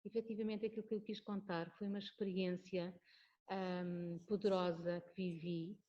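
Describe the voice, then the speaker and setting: Hesitant lower-voiced woman, muffled audio. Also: little pitch movement, moderate pace, mellow. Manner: hesitant, many "eh" and "enfim" fillers. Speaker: guest speaker, HR professional. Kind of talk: speech at a web conference. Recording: muffled web-call audio.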